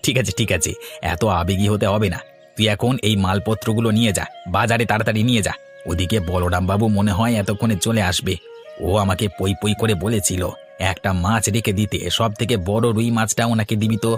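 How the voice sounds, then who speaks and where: A man's voice: on the low side, fairly even intonation, fairly quick, soft background music, natural voice. A man voicing a proud, earnest village farmer and husband in a cartoon, firm and persuasive. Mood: brisk.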